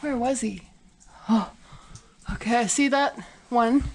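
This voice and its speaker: A woman exploring a narrow rock crevice with an action camera, chatting and excited. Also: a little high, slow, slight background noise. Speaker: cave explorer. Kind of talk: casual chat.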